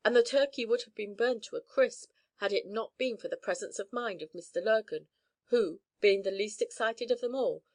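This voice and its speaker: Audiobook narrator, woman, gentle and soothing, mid-range pitch. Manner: calm, gentle, soothing reading. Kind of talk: scripted story narration.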